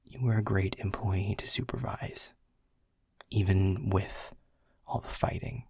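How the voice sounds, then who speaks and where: A man's voice: on the low side, steady tone, unhurried, vocal fry, a little mellow, slightly rough, no echo, full character voice. A man playing a world-weary male middle manager who is blunt and swears, confessing in a half-whisper. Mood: frustrated. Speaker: boss. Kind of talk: audio roleplay acting.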